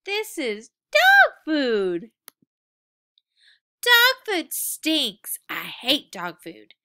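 A woman voicing a hungry, food-loving little female puppy in a storybook, with expressive, emphatic delivery. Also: sits high, very slow, voice pushed up, exaggerated voice. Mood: disgusted.

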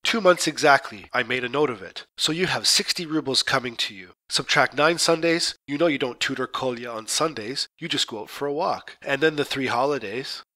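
A man's voice: mid-range voice, brisk, hardly any pauses, lightly acted. A man voicing a stingy middle-aged employer who coolly itemizes deductions in a read-aloud story. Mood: calculating. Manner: coolly itemizing, later shouting in anger. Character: stingy middle-aged male employer, calculating, then furious. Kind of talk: story reading.

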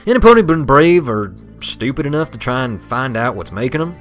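A man's voice: medium pitch, very animated, fairly quick, a bit bright, faint music behind, pitched above the performer's own voice. A man voicing a friendly, curious cowboy pony with a folksy way of talking in a storybook.

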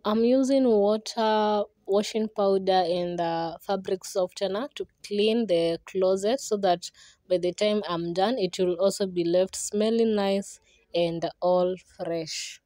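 Young woman vlogger, chatty and viewer-facing, mellow tone, dry close voice-over. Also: mid-range voice, takes it slowly, no echo. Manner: friendly, chatty, addresses viewers directly. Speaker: homemaking vlogger. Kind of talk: voice-over narration for a cleaning vlog.

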